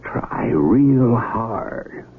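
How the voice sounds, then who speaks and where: An actor playing a calm, authoritative, middle-aged army surgeon major with an American accent, fatherly and measured, in 1970s radio drama style. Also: mid-range voice, breathy edge, heavily acted. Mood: probing, evasive. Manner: measured, soothing, probing. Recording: tinny, lightly noisy old radio broadcast.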